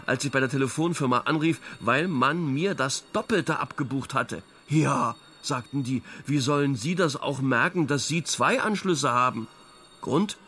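A man's voice: medium pitch, sharp tone. An actor playing an aggrieved, pedantic man in a radio drama, telling stories in an insistent, lecturing way.

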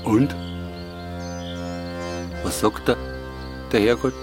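An actor playing a fond, wry, stubborn old hiking companion with a Bavarian accent, in a naturalistic dialect radio drama. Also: male voice, medium pitch, fairly even intonation, extremely slow, over music. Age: older.

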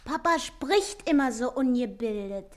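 An actress playing an eager, curious young daughter in a stage comedy, obedient in manner. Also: female voice, fairly high pitch, animated, measured pace. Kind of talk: stage comedy radio play acting.

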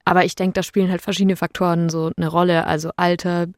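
A young woman hosting a casual podcast chat, chatty and relaxed, mixing English words into her speech. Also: lowish voice, fast talker, no echo, clean studio sound. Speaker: podcast host. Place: studio.

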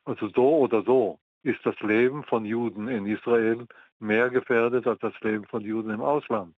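An older man from the Mandate of Palestine, speaking as a historian in an interview, measured and pausing often with ums. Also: mid-range voice, steady tone, no echo. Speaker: guest historian. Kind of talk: interview answers. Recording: tinny phone line, dry.

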